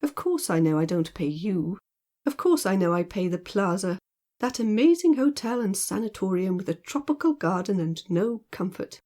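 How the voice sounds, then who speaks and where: A woman as a mellow, theatrical, teasing gentleman. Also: medium pitch, very animated, normal speed. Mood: amused, teasing. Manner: theatrical, rhetorical, playful exclamations. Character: teasing, lazy, mock-solemn educated man with mellow laugh. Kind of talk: audiobook character dialogue.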